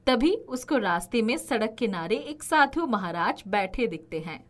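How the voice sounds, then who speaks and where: A woman's voice: fairly high pitch, big pitch swings, measured pace. A woman narrating a scripted children's story as the narrator.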